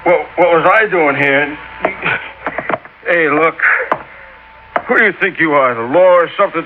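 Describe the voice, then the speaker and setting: Heavy noise and a muffled radio sound surround a man acting a big American tough guy. He is belligerent and blustering, gruff in manner, with a fairly high male voice that pushes back at every turn. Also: unhurried, lightly acted. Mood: blustering, indignant. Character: big, belligerent, broad-shouldered male brute. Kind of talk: 1950s-60s radio drama acting. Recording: noisy, muffled old radio recording.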